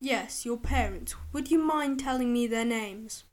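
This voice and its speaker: A woman voicing a smooth-talking inquisitor. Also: on the high side, lightly acted. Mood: gentle, probing. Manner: gentle, measured, quietly menacing. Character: calm, menacing male army inquisitor, smiling.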